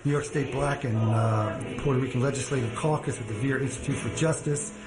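A man's voice: mid-range voice, fairly even intonation, fairly quick. A man introducing a guest speaker at an event, rambling with many fillers and restarts, on an archival recording. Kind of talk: spoken introduction. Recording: roomy archival recording with loud music behind.

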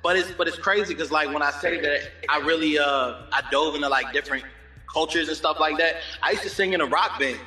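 Laid-back man with a bright voice. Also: fairly high pitch, brisk, roomy sound, long reverb tail. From Germany. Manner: laid-back, peppers talk with "you know what I'm saying". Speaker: guest singer. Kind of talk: casual interview chat.

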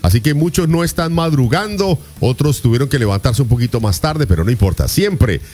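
A man's voice: medium pitch, lively intonation, brisk, darkish tone. A man hosting a morning radio show, with playful, teasing announcer patter. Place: radio studio.

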